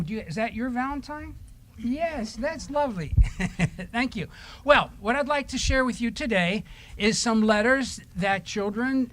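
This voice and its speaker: A man speaks very slowly to a group of children during a church service. He asks simple questions, echoes their answers, and reads short letters aloud. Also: sits high. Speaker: leader of a children's story time in church.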